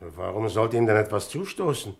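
An actor playing a composed police chief inspector in a radio crime drama, questioning tersely and with authority. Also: male voice, fairly low pitch, big pitch swings, normal speed, vocal fry. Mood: probing, composed.

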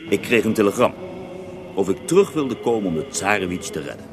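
A man's voice: lowish voice, very animated, fairly quick, music in the background. An actor playing a resentful Russian holy man who recounts his life in a radio drama, with a scornful, theatrical edge. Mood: bitter. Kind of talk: radio drama acting, interview-like narration.

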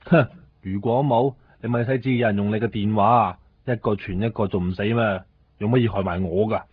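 A man's voice: on the low side, steady tone, fairly quick, breathy edge. An actor playing a suspicious, nagging man in a Cantonese radio drama, scolding sarcastically with a Hong Kong accent. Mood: accusing, sarcastic. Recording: thin phone-like sound, faint noise.

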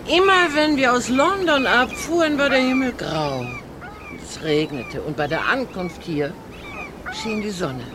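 An actress playing a worldly, wistful older lady in a German radio drama, speaking formally with a gently teasing manner. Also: a little high, sing-song, unhurried. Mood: wistful, reminiscing. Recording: clear background ambience noise.

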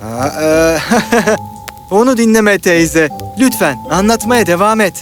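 A young, romantic fairy-tale cartoon hero. He speaks politely and earnestly in a rather high, pleading voice, with music clearly underneath. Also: expressive, unhurried. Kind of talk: animated fairy tale acting.

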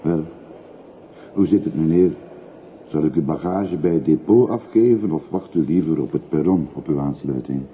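An actor playing a talkative, obliging older railway porter in a radio play, chatty and deferential. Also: male voice, a bass voice, normal speed. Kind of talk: radio drama acting. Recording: muffled narrowband radio recording with hiss.

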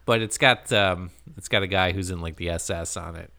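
A man's voice: low-pitched, brisk, gravelly, bright, forceful tone. A man co-hosting a casual podcast, recapping a show in a playful, joking way. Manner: joking, playful, laughs often. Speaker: podcast co-host.

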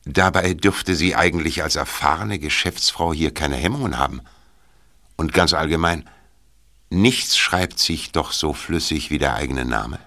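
A man playing the storyteller of a detective audio drama, narrating from a script in a measured, knowing way. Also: a bass voice, sharp tone, heavily acted. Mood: wry, knowing.

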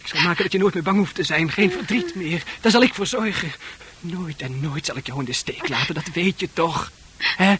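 An actor playing a tormented, obsessively devoted lover in a radio play, insistent, pleading and tender. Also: male voice, a little high, brisk, a bit bright, slight background noise, natural voice. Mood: fervent tender. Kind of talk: intense radio play acting.

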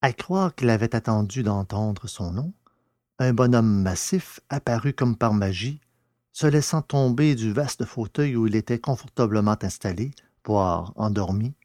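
A man narrating a science-fiction story in a measured, descriptive, literary style. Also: fairly low pitch, lively intonation, a little mellow, no echo, clean studio sound.

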